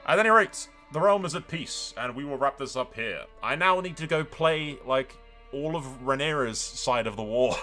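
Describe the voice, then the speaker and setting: A man hosts casual game commentary in a lively, swinging voice with a bright, forceful edge. He is informal and wry, swearing freely as he jokes along. Faint music plays underneath. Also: mid-range voice, moderate pace. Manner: informal, sweary, wryly joking. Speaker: video game streamer, host.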